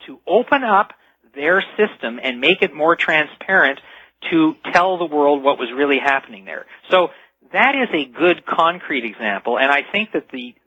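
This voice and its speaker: A man's voice: a little high, no room sound. A man speaking as a radio show guest, explaining things earnestly in an interview. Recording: slightly dull lo-fi radio call audio.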